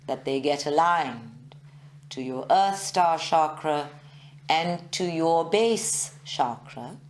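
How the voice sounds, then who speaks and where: Slow, low-voiced meditation guide, woman. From South Africa. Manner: slow, measured, soothing delivery with long pauses. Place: group meditation session at a centre, recorded live.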